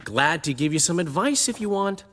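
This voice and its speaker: A man's voice: a little high, lively intonation, moderate pace, a bit bright. A man playing a flamboyant, teasing bard and ladies' man in a video game, with a theatrical, amused delivery. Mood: smug, teasing.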